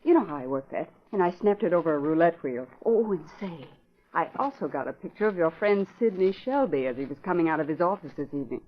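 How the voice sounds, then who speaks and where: An actress playing a plucky young female newspaper photographer in a vintage radio drama, brisk and spirited. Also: deep voice, animated. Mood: eager. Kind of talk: 1940s radio acting. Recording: muffled vintage radio recording with faint hiss.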